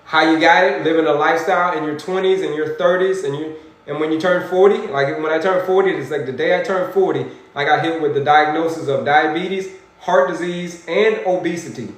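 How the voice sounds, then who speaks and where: A man in his forties, an energetic health coach hosting a livestream, animated and repetitive as he preaches to viewers and reads chat comments. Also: a little high, a little fast. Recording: very echoey room.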